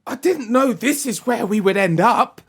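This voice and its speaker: A woman's voice: on the high side, expressive, normal speed, husky. A woman playing a bookish, panicky teenage boyfriend in an audio play, hesitant and stammering. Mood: panicked.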